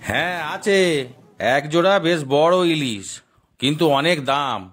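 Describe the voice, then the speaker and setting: Man as lively, persuasive cartoon fish seller. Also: a little high, measured pace. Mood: eager selling. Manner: persuasive market patter. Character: sales-minded male fish seller, persuasive and persistent. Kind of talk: children's cartoon acting.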